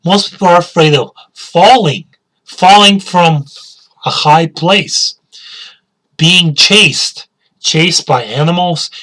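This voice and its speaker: A man's voice: fairly high pitch, takes it slowly, sharp tone, poor audio. A male teacher giving a spoken English lesson, asking learners questions and repeating key words.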